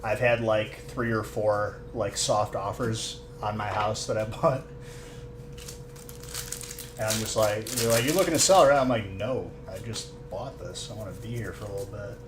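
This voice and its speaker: A man's voice: lowish voice, fairly even intonation, moderate pace. Young Chicago guy chatting loosely. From Chicago suburbs. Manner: easygoing, many "like" fillers. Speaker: co-host of a card-opening stream. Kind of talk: casual chat. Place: shop room during a live stream. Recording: echoey room with background noise.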